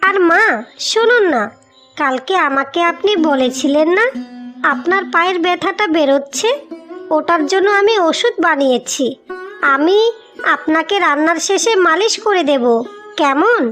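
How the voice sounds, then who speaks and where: A woman's voice: extremely high pitch, lively intonation, measured pace, long pauses, fairly bright tone, exaggerated voice. A woman voicing a meek young village daughter-in-law in a cartoon story, politely pleading. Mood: meek, caring. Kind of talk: Bengali cartoon moral story acting. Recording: music behind the voice.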